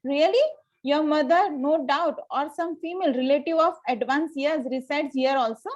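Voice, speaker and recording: Haughty young society lady, played by a woman, high-pitched, formal and sure of herself, Victorian comedy table read. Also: moderate pace, highs cut off, lightly acted. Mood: haughty, pronouncing. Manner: formal, pronouncing, self-assured. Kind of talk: amateur play table read.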